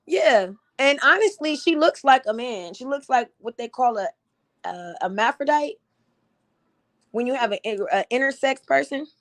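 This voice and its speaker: Slightly raspy woman on a livestream, kinda high voice, blunt and animated, laughs a lot. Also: normal speed. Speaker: livestream host. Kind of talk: casual commentary chat.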